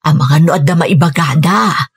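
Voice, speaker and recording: A woman's voice: deep voice, moderate pace, slightly rough, heavily acted. A woman playing a hesitant middle-aged mother and new partner in a radio drama, with a Filipino accent. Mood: hesitant, hopeful.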